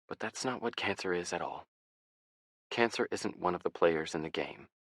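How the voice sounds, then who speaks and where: A man's voice: sits low, normal speed, a bit bright, no echo, studio quality. A man reading a dying mother's last letter. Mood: somber. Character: dying mother writing her final letter.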